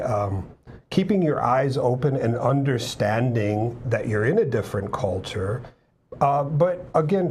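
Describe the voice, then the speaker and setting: A man speaking as an interview guest about business abroad, measured, with many "um"s and "you know"s. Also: medium pitch, darkish tone, slight background noise. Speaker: guest with business experience in Japan. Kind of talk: conversational interview.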